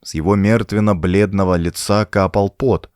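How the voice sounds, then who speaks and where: A man narrating a horror audiobook in an expressive, suspenseful, dramatic manner. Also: lowish voice, moderate pace, smooth, no room sound, clean studio sound. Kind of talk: dramatic scripted narration of a pulp horror story.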